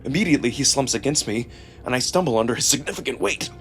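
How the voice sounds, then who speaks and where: A man reading a visual novel in the first person as a theatrical, expressive narrator. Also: mid-range voice, fast talker, a little hiss or hum. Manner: theatrical, expressive, acts out emotion. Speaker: narrator and performer. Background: music.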